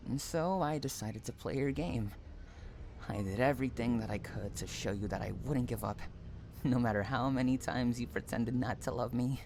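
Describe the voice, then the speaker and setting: A man's voice: mid-range voice, normal speed, darkish tone, slight background noise, natural voice. A man acting an obsessive, unhinged stalker in an audio roleplay, cooing pet names and drawing out words between tenderness and anguish. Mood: disappointed, possessive. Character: obsessive, unhinged male stalker lover, sweetly possessive and menacing. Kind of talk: dramatic roleplay audio monologue.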